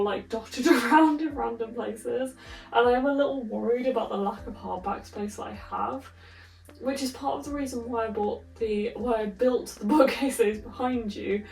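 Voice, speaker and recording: A woman vlogging casually in a room of her flat, chatty and rambling with giggly asides, a little stuffy from a cold. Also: mid-range voice, reverberant, recorded from a distance, poor audio. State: slightly congested from a cold. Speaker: book vlogger. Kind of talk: casual vlog chat.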